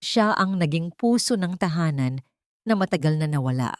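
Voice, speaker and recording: A woman narrating a dramatic story in an earnest, sentimental, measured way. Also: deep voice, lively intonation, dry recording, clean studio sound.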